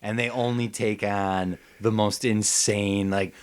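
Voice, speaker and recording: Jokey, lower-voiced man bantering on a podcast. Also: fairly even intonation, slow pace. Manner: jokey, slips into mock voices and exaggerated bits. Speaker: podcast co-host. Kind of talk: casual comedic banter, improvised pitching.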